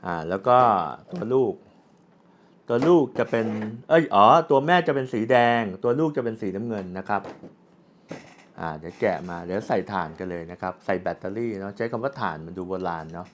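A low-voiced man walks through a product in a casual, unscripted way. He explains as he goes, with polite sentence endings, over clearly audible background noise. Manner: explanatory, with frequent polite particles and spoken handling sounds. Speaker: product reviewer.

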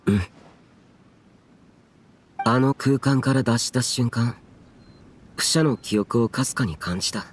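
An actor playing a stern, reserved guardian warrior in a game cutscene, speaking formally in a measured, restrained and archaic way. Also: male voice, mid-range voice, slight background noise, natural voice. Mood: quietly resolved. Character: stern, stoic yaksha guardian, reserved and grave. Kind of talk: dramatic game cutscene dialogue.

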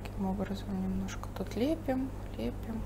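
A woman artist teaching, thinking aloud calmly as she paints a still life at her table. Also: mid-range voice, normal speed, vocal fry, noisy background. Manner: thinking aloud while painting, calm and explanatory. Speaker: painting instructor. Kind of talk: painting demonstration with commentary. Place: studio table, overhead camera on the painting.

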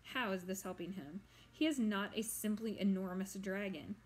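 A woman's voice: mid-range voice, natural voice. A woman playing a pompous ancient swamp monster. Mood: lecturing. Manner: grand, slow and formal.

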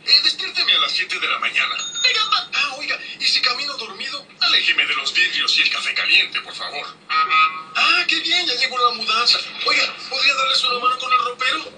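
A man's voice: very high-pitched, sing-song, a little fast, bright, forceful tone, full character voice. A man voicing an overjoyed customer in a radio ad, talking in a giddy, squealing way. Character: overjoyed male customer moving into a gas station. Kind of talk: radio ad acting. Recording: distant ad playback with music.

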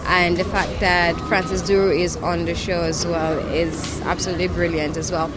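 A woman's voice: lowish voice, level tone, normal speed, over loud music. A young woman giving an articulate, emphatic interview answer into a handheld mic outside a venue. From Nigeria. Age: young adult. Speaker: audience member being interviewed. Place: covered entrance outside a venue. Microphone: handheld microphone held close. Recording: loud crowd and outdoor bustle.

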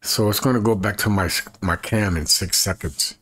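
A man in his fifties with a husky voice, chatting casually to camera in a folksy way and repeating himself as he demonstrates. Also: on the low side, normal speed, a bit bright, a little hiss or hum. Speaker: evangelist and video creator. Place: small bedroom, indoors.

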